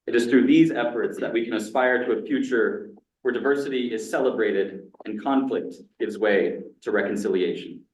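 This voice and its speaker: A man reads out a solemn church welcome in a lowish voice. He stands away from the mic in an echoey room, so he sounds distant. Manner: formal, solemn reading. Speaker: church host. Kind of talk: read introduction.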